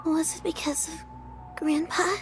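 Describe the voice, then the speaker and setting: Very high-pitched, anxious young girl. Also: little pitch movement, unhurried, soft background music. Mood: anxious concern. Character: young granddaughter, earnest, anxious, wide-eyed listener. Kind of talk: scripted video game acting.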